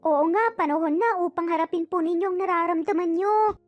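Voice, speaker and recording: A woman's voice: extremely high pitch, fairly quick, no echo, muffled recording, soft background music, voice pushed up. A woman voicing a cheeky, determined six-year-old boy in a story, polite and playfully insistent. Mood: playful insistence. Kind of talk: dramatized storytelling.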